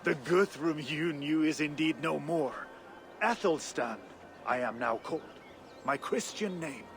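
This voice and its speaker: A man playing a hearty Viking conqueror turned devout and gentle in a video game, speaking in an unhurried, reflective way. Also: on the high side, a little hiss or hum. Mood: amused, genial. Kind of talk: dramatic video game acting.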